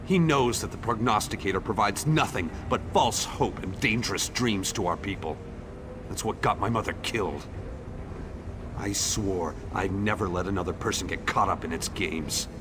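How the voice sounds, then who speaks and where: A man's voice: on the low side, moderate pace, background noise, lightly acted. A man voicing a bitter, defiant younger man in a fantasy game, blunt and scornful in manner. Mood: scornful, determined. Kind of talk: AI-voiced fantasy game dialogue.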